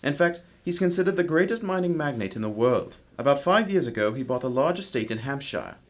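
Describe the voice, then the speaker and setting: A courteous gentleman detective in a radio mystery play, acted by a man, with a medium-pitched voice. He is composed and analytical, explaining things in formal, measured phrases. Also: animated, close to an everyday voice. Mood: genial, composed. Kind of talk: amateur radio drama acting.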